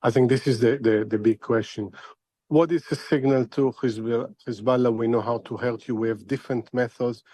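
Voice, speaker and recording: A middle-aged man speaks as an expert analyst in a news interview. His delivery is halting and deliberate, circling back over words while he thinks. The voice is medium in pitch and recorded dry. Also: fairly even intonation, dark tone, no room sound.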